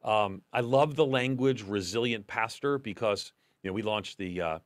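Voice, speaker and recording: A man's voice: on the low side, moderate pace, no room sound. A man in his fifties hosting a podcast interview in a studio, talking in a loose, conversational way with restarts. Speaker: podcast host. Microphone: desk boom mic.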